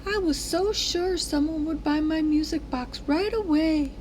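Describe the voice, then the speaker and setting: A woman voicing an earnest, expressive young girl in a children's storybook. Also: high voice, measured pace, slight background noise, voice pushed up. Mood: earnest, hopeful. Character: excitable young girl selling her music box.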